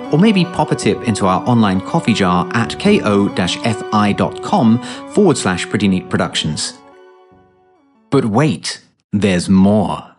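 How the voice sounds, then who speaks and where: A man with a fairly low voice reads scripted credits as an announcer. Music, with guitar, plays clearly behind him. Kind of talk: scripted narration.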